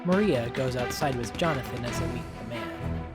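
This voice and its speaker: A man's voice: mid-range voice, normal speed. A man reading scripted story narration as the narrator. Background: background music.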